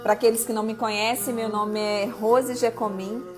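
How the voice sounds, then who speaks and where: A woman's voice: fairly high pitch, level tone, moderate pace, music in the background. A middle-aged woman hosting a live legal talk stream, chatty and laughing easily. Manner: warm, chatty, laughs often. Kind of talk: casual live-stream chat.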